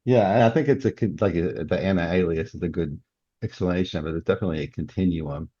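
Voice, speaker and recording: A man with a very deep, husky voice talks casually as an interview guest. He explains things in a reflective way. The recording is a little dull and lo-fi. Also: quick pace, mellow, dry recording. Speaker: guest, author and cybersecurity professional. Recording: slightly dull, lo-fi sound.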